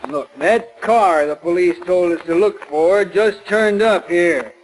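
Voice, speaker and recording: An American actor playing a nervous, obliging garage mechanic, hesitant and folksy, in 1940s radio style. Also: male voice, high-pitched, slow pace, low-quality recording. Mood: uneasy. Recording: vintage 1940s radio recording.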